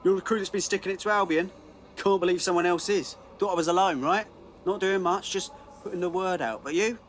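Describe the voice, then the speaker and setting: Man acting as streetwise British informant, fairly high voice, clipped, informal, defiant, faint ambience, game cutscene. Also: a little fast, slight background noise, lightly acted. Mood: impressed.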